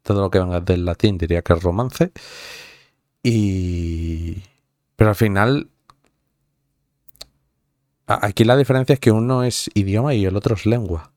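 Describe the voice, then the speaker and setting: A man's voice: on the low side, a little slow, pauses often, a little mellow, no echo, clean studio sound. A young man from Madrid with a Madrid Spanish accent, chatting casually with viewers in a rambling, profane way full of fillers and restarts. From Madrid, Spain. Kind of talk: casual chat.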